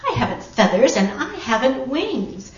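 A woman voicing a huge, gentle male elephant from a storybook, patient and earnest, speaking in emphatic rhyme. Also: high voice, very animated, normal speed, echoey room, distant mic, lo-fi sound. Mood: amused disbelief. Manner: emphatic, rhyming declarations.

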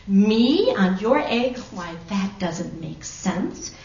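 A woman voicing a huge, gentle male elephant from a storybook, patient and earnest, speaking in emphatic rhyme. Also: medium pitch, big pitch swings, slow pace, gravelly, echoey room, distant mic, dull audio, exaggerated voice. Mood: amused disbelief. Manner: emphatic, rhyming declarations.

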